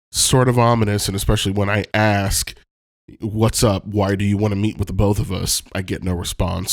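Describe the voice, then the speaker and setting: A man's voice: low voice, gravelly, no room sound, studio quality. A man with a cold, sounding stuffed up, talking candidly and reflectively on his podcast with many ums and casual swearing. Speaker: podcast host. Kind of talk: personal reflective monologue. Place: Los Angeles home.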